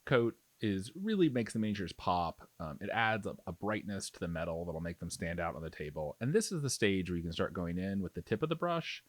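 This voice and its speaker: A man's voice: deep voice, lively intonation, a little fast, few pauses, no room sound. A man giving a casual tutorial voice-over as a hobby painting instructor, explaining in a conversational, enthusiastic way.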